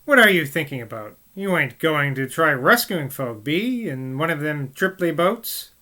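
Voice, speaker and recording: Scolding old countrywoman with a burr, read by a man, bright voice. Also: on the high side, very animated, a little slow, full character voice. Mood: cross. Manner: cross, scolding. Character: older rustic wife, cross and practical.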